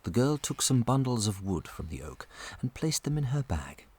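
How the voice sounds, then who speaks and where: A man narrating a scripted children's story as an expressive storyteller. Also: mid-range voice, normal speed, slightly rough.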